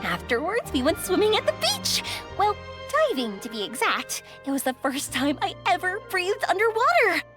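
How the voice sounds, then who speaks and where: Bubbly, high-voiced young game heroine. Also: female voice, big pitch swings, normal speed, few pauses, over music. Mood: excited. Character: bubbly, energetic, cheerful young woman. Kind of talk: video game voice acting.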